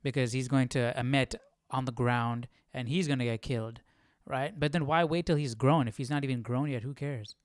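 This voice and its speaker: A man's voice: mid-range voice, steady tone, moderate pace, no room sound, studio quality. A man hosting a casual live Bible study, reading and puzzling aloud as he repeats phrases.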